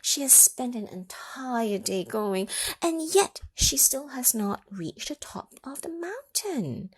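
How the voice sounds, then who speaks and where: A woman reading a picture book aloud to children, pausing to ask them questions and sometimes dropping to a whisper. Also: on the high side, slightly rough, no room sound. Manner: animated, asks the listening children questions; drops into whispers for effect. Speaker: children's story reader. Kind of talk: read-aloud storytime for children.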